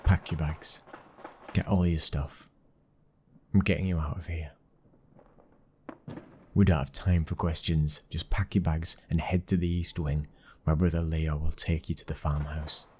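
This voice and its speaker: Guy acting as british mafia boss's son, really deep n husky, even intimate delivery, firm and protective, asmr roleplay. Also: a little slow, long pauses, slight background noise. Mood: urgent, firm. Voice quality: low, husky. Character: commanding, protective young male mafia boss's son, loving husband.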